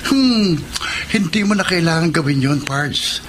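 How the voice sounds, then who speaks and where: A man's voice: a little high, expressive, unhurried, noisy background. A man playing a jolly young best friend in a radio drama, teasing in a Filipino accent. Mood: knowing, encouraging. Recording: music under radio drama.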